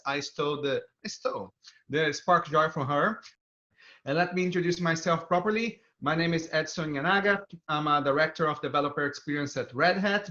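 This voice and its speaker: A man's voice: a little high, fairly bright tone. A man with a Brazilian accent gives an upbeat, enthusiastic technical talk, walking viewers through a live coding demo. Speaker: developer advocate presenting a tech talk. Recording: dull, band-limited video stream audio.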